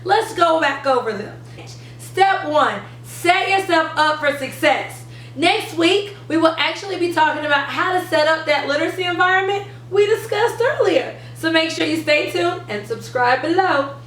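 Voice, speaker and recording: High-voiced woman teaching, roomy sound. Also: lively intonation, moderate pace, a bit bright. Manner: clear step-by-step explaining, stressing key words. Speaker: reading teacher and channel host. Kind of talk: instructional how-to presentation. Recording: roomy, distant mic, faint noise.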